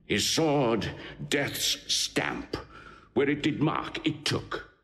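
An actor playing a grave, ardent Roman general praising a warrior in measured, declamatory Shakespearean verse. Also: male voice, medium pitch, sing-song, fairly bright tone, heavily acted. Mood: fervent admiration. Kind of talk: classical Shakespearean stage acting. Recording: long hall reverb.

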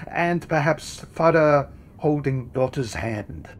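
An actor playing a courteous old-time portrait photographer who talks politely and hesitantly, with a gravelly voice. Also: male voice, fairly high pitch, animated, a little hiss or hum. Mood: coaxing. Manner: courteous and slow, with hesitant fillers. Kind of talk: audio drama acting.

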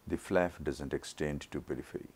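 A man narrating his own eye surgery as a teaching lesson, his voice rough from a throat infection, explaining each step in a measured way. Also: very deep voice, steady tone. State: recovering from a throat infection, voice not normal. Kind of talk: slow surgical teaching narration.

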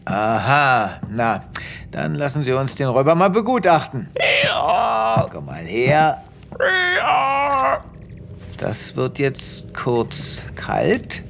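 An actor playing a self-important space veterinarian with a pompous manner in a comedic audio drama. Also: male voice, mid-range voice, big pitch swings, slow, creaky, pauses often, slight background noise, heavily acted. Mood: brisk, kindly. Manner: pompous, reassuring bedside chatter.

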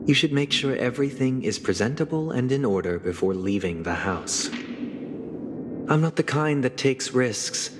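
An actor playing a refined, pious and controlling young nobleman in a video game, speaking formally with measured, stern courtesy. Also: mid-range voice, animated, noisy background, close to an everyday voice. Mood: polite, probing. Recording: long reverb, loud music behind. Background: game soundtrack music.

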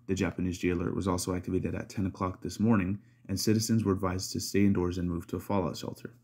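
Very deep, gravelly male news anchor. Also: warm tone. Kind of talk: scripted news report.

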